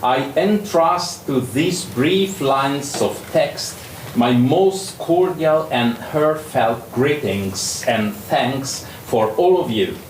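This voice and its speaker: A man's voice: mid-range voice, lively intonation. A man with an Italian accent, a native Italian speaker, reading a formal letter aloud. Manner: slow and formal. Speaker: panel speaker. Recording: echoey hall, faint noise.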